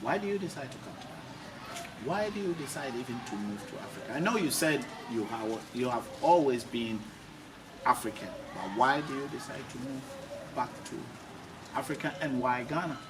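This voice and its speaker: A male interviewer heard at a distance from the mic, with clear noise behind him. His voice is average in pitch, and he talks casually, chiming in and echoing what he hears. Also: lively intonation, normal speed. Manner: echoes the guest's words, interjects agreement.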